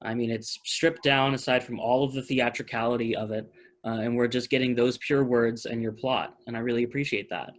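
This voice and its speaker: A man hosts a casual online panel over a video call. His pitch is mid-range and his manner is chatty and appreciative. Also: fairly even intonation, a little fast. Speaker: host of a talkback. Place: virtual meeting video call.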